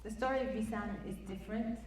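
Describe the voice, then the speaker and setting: A woman's voice: mid-range voice, fairly even intonation, quick pace, a loud crowd. A woman journalist speaking earnestly and emphatically on a conference panel. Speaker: news media journalist. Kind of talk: panel discussion. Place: conference hall. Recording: roomy hall, mic far off, faint noise.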